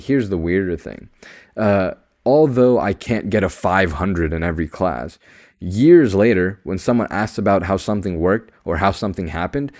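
A young man with a deep, projected voice giving a casual podcast monologue in an emphatic, matter-of-fact, know-it-all manner. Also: darkish tone. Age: young adult. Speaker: podcast host.

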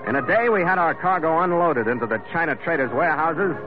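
An actor playing a hardy, wry sea captain, narrating in a hard-boiled, clipped manner as in a 1940s radio adventure. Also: male voice, fairly high pitch, fast talker, natural voice. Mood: wry, storytelling. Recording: muffled old radio broadcast, loud music.

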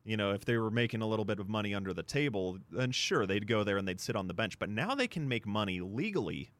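A man's voice: lowish voice, expressive, fast, a bit bright. A man co-hosting a sports talk show, explaining things point by point in a discussion. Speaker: sports radio show co-host.

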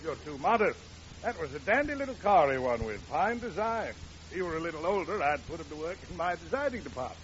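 An actor playing a courteous, approving American industrialist in an old-time radio sitcom. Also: male voice, fairly high pitch, very animated, fairly quick, fairly bright tone, slight background noise. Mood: genial, approving. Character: courteous, approving big-business industrialist man. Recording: muffled vintage radio recording.

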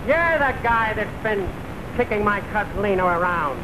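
An indignant cousin blusters in a high male voice, slipping earnestly into nonsense double-talk. The style is 1940s radio comedy, on a noisy vintage recording. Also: expressive, normal speed. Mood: indignant, blustering. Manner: earnest, blustering gibberish delivery.

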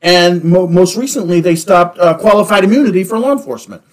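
A man hosting a casual panel discussion, speaking in halting, stop-start phrases with repeated words. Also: high-pitched, lively intonation. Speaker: talk show host.

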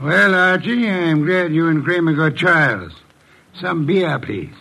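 An actor playing a shrewd, self-satisfied detective boss in a 1950s radio drama, speaking in a measured, articulate, dry manner. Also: male voice, on the high side, expressive, vocal fry, pushed and bright, soft background music, heavily acted. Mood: wistful, dry.

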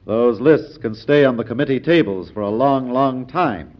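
An actor playing a calm, scheming American senator in an old-time radio drama, speaking in a measured, oratorical way. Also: male voice, medium pitch, lightly acted. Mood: smooth scheming. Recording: dull, lo-fi old radio recording.

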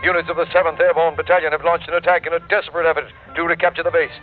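A man's voice: a little high, level tone, very fast, faint music behind, lightly acted. Actor as urgent, tinny-sounding vintage radio newsman. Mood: alarmed. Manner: clipped, formal, emphatic announcing. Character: urgent male radio newscaster relaying war bulletins. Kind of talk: 1960s radio drama newscast.

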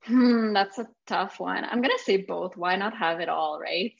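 A woman's voice: medium pitch, normal speed, vocal fry, lo-fi sound. A woman from Quebec with a French Canadian accent, chatting easily as a podcast guest and laughing readily. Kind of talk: casual interview chat.

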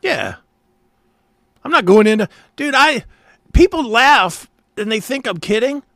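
A man's voice: very high voice, animated, unhurried, a bit bright, dry recording, clean studio sound. An American man talking casually as a talk show co-host, blunt, profane and cantankerous. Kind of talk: casual chat, ranting.

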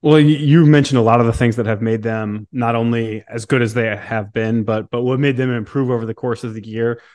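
A man's voice: mid-range voice, fairly even intonation, a little fast, darkish tone. A man co-hosting a casual sports interview and putting questions to a guest. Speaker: co-host, interviewer.

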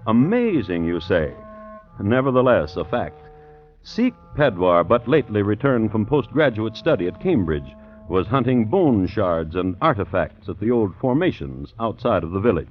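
Dryly witty, low-voiced male radio narrator. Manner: dry, deadpan wit, mock-formal with deliberate hesitations. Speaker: host and narrator. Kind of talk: scripted old-time radio narration. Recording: muffled old radio recording. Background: music.

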